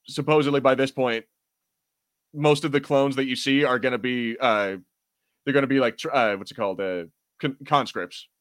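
A man's voice: mid-range voice, fairly even intonation, normal speed, a bit bright, no room sound. Casual male podcast host, dry close sound. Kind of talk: casual chat, fan discussion.

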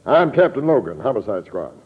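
An actor playing a gruff but courteous homicide police captain in an old-time radio drama. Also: male voice, medium pitch, big pitch swings, a little fast, lightly acted. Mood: firm. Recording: dull, noisy old radio recording.

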